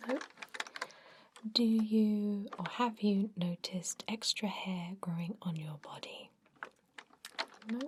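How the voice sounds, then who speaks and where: Woman doing friendly vampire doctor asmr, soft whispers, really unhurried, bit of hiss behind her. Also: medium pitch, natural voice. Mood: gently inquiring. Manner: whispered, gentle, hesitant pauses. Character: friendly female vampire doctor, encouraging, softly attentive. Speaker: ASMR roleplay performer. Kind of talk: whispered ASMR medical exam roleplay.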